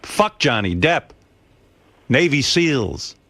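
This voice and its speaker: A man's voice: mid-range voice, very animated, slow, bright, forceful tone, no room sound. A man hosting a radio talk show, ranting emphatically with plenty of profanity. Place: radio studio. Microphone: studio microphone.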